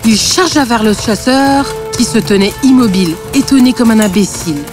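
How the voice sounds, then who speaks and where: A woman's voice: a little high, normal speed. Woman narrating a fairy tale over music.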